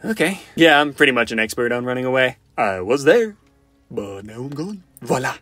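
A man's voice: medium pitch, animated, normal speed, a bit bright, no echo, faint music behind, pitched below the performer's own voice. A man voicing a cocky, flirty, goofy wisecracking survivor for fan-made video game lines, in a playful, theatrical, quippy manner. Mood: smug, joking. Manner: playful, theatrical, quippy, often sing-song.

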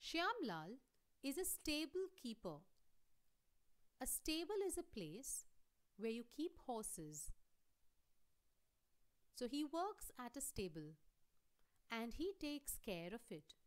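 A woman teacher reading a play aloud for a lesson, deliberately, in short explained phrases. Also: high-pitched, very animated. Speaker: English teacher.

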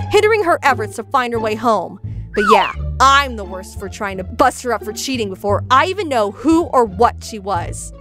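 A woman plays a teenage witch girl with a high voice. She is earnest and defensive, quick to indignation, and articulates every point with dramatic emphasis, as in an animated comic dub. Music plays underneath. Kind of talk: comic dub character acting.

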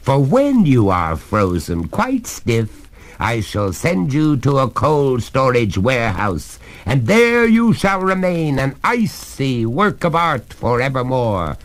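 A man voicing a courteous but unyielding gentleman, master of a haunted hall in a storybook, polite, firm and coldly taunting. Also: medium pitch, sing-song, slow pace, voice pushed up. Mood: gloating.

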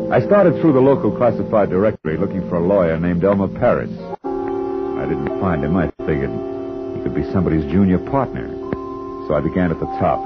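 A dry, hard-boiled private eye. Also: male voice, low-pitched. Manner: dry, laconic, wisecracking. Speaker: private detective narrator. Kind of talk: 1950s radio drama acting. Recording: dull vintage radio broadcast.

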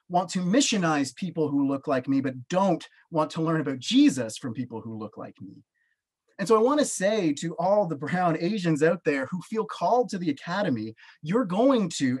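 A man's voice: fairly high pitch, slightly rough. Earnest Canadian man on a video call. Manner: earnest, emphatic, gestures with both hands. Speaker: panelist, professor. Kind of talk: personal testimony on a video call. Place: small home office with bookshelves. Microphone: webcam on a video call.

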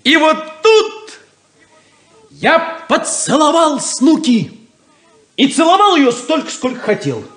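A giddy, boastful kid brother in a vintage radio drama. His voice is very high and swoops widely, while he stretches his words slowly and teasingly. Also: sing-song, fairly bright tone, exaggerated voice. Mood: dreamy, elated. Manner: exclamatory, drawn-out, teasing delivery. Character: excitable, boastful, giddy younger brother in love. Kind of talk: 1970s Soviet radio play acting.

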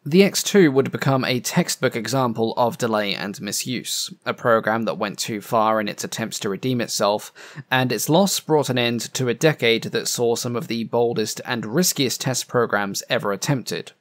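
A man hosts his own aviation history channel, reading a scripted narration in a measured, earnest tone. His voice sits at an ordinary middle pitch and sounds a little strained and uneven, as if he has been losing it. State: voice a bit up and down from losing it.

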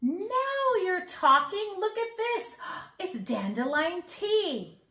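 A woman's voice: very high-pitched, big pitch swings, very slow, bright, forceful tone, roomy sound, pitched above the performer's own voice, full character voice. A woman voicing a naughty, boastful little old leprechaun in a storybook, taunting in theatrical singsong rhymes. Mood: gleeful. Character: naughty, boastful two-hundred-year-old little male leprechaun trickster. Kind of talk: storybook read-aloud. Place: living room at home.

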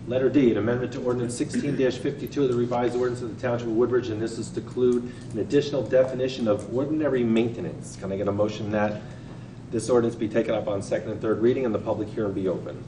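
A man chairing a council meeting, reading procedural motions in a brisk, routine way, recorded in a large council chamber. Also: mid-range voice, steady tone. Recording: echoey chamber, far mic, background noise.

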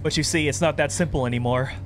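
A man reads as a thirty-year-old male psychopath in a horror game. He is possessive and coaxing, with a controlled, sinister threat under the words. The voice sits a little high for a man, over clear music. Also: fast, lightly acted. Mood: coaxing, accusing. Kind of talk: reading horror game dialogue aloud.

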